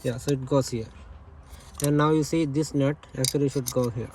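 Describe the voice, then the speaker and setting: A man giving a casual hands-on installation tutorial, explaining haltingly and correcting himself as he goes. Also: medium pitch, steady tone, a little mellow, slight background noise. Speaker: DIY installation presenter.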